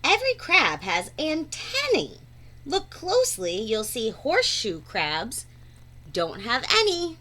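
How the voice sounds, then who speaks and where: A woman reading a children's picture book aloud in an expressive, deliberate, teacher-like way. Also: high-pitched. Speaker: read-aloud storyteller.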